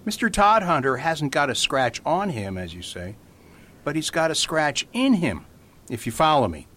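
An actor playing a calm, playful priest detective in an amateur radio drama, patient and mild. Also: male voice, fairly high pitch, moderate pace, exaggerated voice. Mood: whimsical. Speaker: Father Brown.

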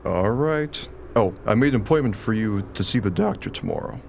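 An actor playing a young schoolteacher husband in a radio play, anxious, dutiful and a little exasperated. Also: male voice, on the low side, lively intonation, normal speed, gravelly. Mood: concerned. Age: young adult. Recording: faint background noise.

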